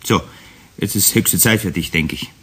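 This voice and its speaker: A man's voice: deep voice, normal speed, fairly bright tone, a little hiss or hum. A man playing a stern but well-meaning, condescending middle-aged father in a German children's radio play. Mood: lecturing.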